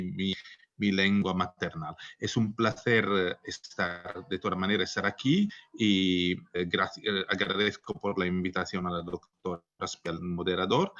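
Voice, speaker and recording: Man with as on a dull lo-fi video call, lowish voice, lots of ems and uhs. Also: a bit bright. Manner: halting, many fillers. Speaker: panelist. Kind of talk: online seminar talk.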